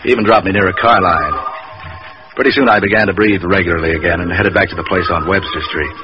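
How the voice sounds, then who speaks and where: An actor playing a tough, cynical private eye in a 1940s radio drama, terse, sardonic and wisecracking. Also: male voice, sits low, fast, over music, natural voice. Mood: wry, weary. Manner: terse, sardonic, hard-boiled. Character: tough, cynical, wisecracking male hired investigator. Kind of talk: 1940s hard-boiled radio acting and narration. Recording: muffled, noisy old radio recording.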